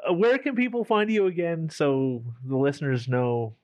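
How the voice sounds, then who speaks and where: A man's voice: fairly high pitch, very animated, normal speed, a little mellow, highs cut off. A man hosting a casual podcast, asking questions and wrapping up in an upbeat way, with stammering restarts. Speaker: podcast host.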